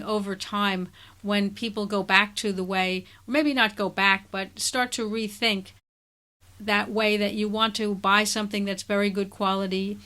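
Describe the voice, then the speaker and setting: A woman hosting a podcast interview, speaking in a measured, thoughtful way. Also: mid-range voice, sharp tone. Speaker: podcast host. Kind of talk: conversational interview.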